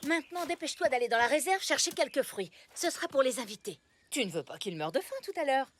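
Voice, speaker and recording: A woman's voice: high voice, lively intonation, fast talker. A woman voicing a strict, protective mother in a cartoon, speaking firmly. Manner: firm, scolding.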